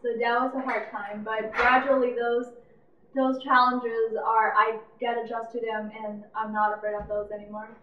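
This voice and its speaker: A woman gives earnest interview answers in a higher, flat voice. She sounds distant from the mic in an echoey space, and the recording is dull. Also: moderate pace, slight background noise. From China.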